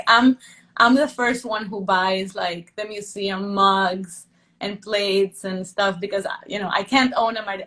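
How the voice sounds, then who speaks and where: A woman's voice: mid-range voice, fairly bright tone. A woman from Venezuela, an art curator talking casually in an interview, thoughtful and full of fillers and restarts.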